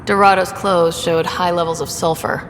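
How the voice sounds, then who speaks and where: Actress doing a game heroine space explorer, lowish voice, firm and direct, echoey sound. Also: female voice, fairly even intonation, moderate pace, slight background noise, lightly acted. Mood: matter-of-fact. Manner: direct, firm, matter-of-fact. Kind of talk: video game voice acting.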